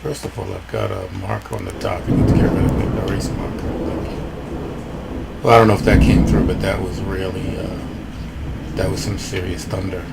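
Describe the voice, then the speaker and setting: A man with a rough voice commenting casually on his gameplay, rambling and thinking aloud. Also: low-pitched, a little fast, very noisy. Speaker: let's play host.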